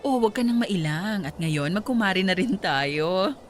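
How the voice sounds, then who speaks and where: Woman acting an animated, haughty Filipina socialite. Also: mid-range voice, unhurried, slight background noise, close to an everyday voice. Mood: gushing. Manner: affected socialite Taglish, condescending. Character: haughty rich older female employer, coquettish and condescending. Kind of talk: Filipino radio drama acting.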